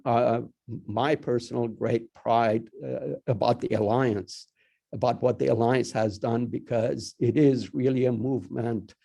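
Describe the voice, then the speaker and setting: An older man whose first language is Persian, a college dean giving a halting formal address with many restarts. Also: mid-range voice, gravelly, mellow.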